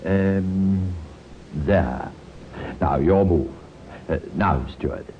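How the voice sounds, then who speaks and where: An actor playing an absorbed, unhurried chess player in a vintage radio drama. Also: male voice, low-pitched. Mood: absorbed, distracted. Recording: dull old radio recording, faint hiss.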